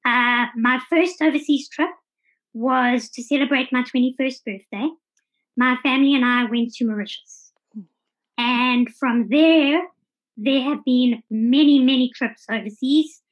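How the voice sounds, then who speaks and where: A woman's voice: sits high, measured pace, lo-fi sound. A South African woman in her thirties giving articulate, orderly answers as a guest in a conversational interview. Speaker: podcast guest.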